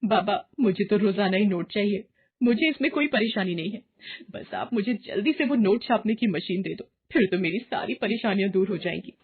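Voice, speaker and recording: A woman voicing a greedy, boastful young housewife in a moral tale, excitable and insistent, in a muffled recording. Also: medium pitch, normal speed, slightly rough. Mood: defiant, eager.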